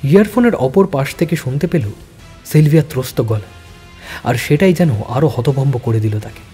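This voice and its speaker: A man giving a dramatic scripted narration of a suspense story, with deliberate phrasing. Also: fairly high pitch, animated, dark tone. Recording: long reverb, background music.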